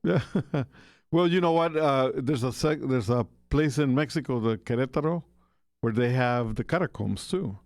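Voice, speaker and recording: A man from Costa Rica hosts a relaxed radio chat, his voice carrying a gravelly rasp. He rambles along with frequent fillers and restarts. The sound is dry and close, with no room around it. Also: mid-range voice, normal speed, dry recording. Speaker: radio show host. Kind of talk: casual radio talk.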